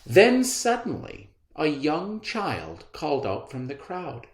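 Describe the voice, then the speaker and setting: A man reading a storybook aloud in a deliberate, theatrical narrating style. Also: fairly high pitch, huge pitch swings. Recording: roomy echo.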